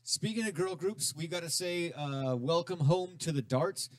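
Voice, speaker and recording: A man's voice: fairly high pitch, normal speed, no room sound. A middle-aged man hosting a radio show from a studio, chatting casually with stumbling restarts and easy swearing. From Southern California. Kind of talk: casual radio interview chat.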